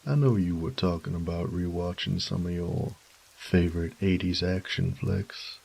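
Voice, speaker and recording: Casting: male actor as charming, teasing boyfriend for romantic audio roleplay; deep, very husky drawl, folksy pet names. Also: steady tone, normal speed, darkish tone, exaggerated voice. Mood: playful.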